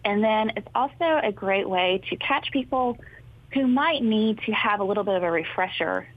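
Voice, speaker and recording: A woman's voice: mid-range voice, moderate pace, dry recording. Woman speech therapist on a phone line. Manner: friendly, informative, steady explaining. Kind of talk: explaining in a talk show interview. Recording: thin phone-line sound, dry.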